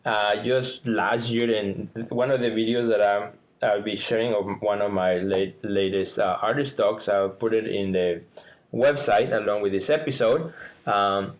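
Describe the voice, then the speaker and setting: A middle-aged man from Mexico with a Mexican Spanish accent, Spanish his first language, co-hosting a podcast in a lively, casual way with lots of laughs and fillers. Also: lowish voice, a little slow. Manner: animated, laughs often, many fillers. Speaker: podcast co-host.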